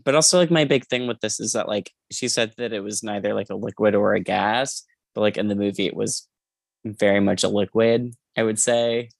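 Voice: mid-range voice, a little fast, gravelly, no echo. A podcast co-host casually discussing books in an emphatic way, often repeating phrases.

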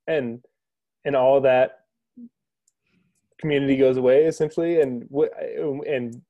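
A man's voice: medium pitch, steady tone, measured pace, warm tone. A man hosts a casual interview and draws out his guest with questions. He speaks conversationally and often restarts his sentences.

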